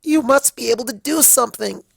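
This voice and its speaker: A man's voice: very high-pitched, fairly quick, vocal fry, fairly bright tone, slightly rough, no room sound, voice pushed up, exaggerated voice. A man voicing a young female pony student, frightened and pleading, with a stammering, tearful delivery. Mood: tearful panic.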